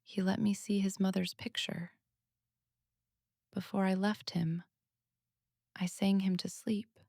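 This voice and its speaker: A woman voicing an earnest, matter-of-fact little girl who speaks softly but firmly, in a storybook reading. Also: mid-range voice, flat delivery, takes it slowly, vocal fry, no echo, studio quality, pitched above the performer's own voice.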